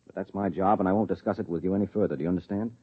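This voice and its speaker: An actor playing a secretive, curt husband and government agent, speaking in a clipped, guarded 1950s radio drama style, on a dull old broadcast recording. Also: male voice, sits low, racing, slightly rough.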